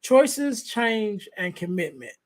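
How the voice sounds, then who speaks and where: A man with a light voice hosting a podcast interview, talking softly and loosely with lots of fillers. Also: high voice, sing-song, takes it slowly. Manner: soft-spoken, rambling with many fillers. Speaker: podcast host. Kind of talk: casual interview chat.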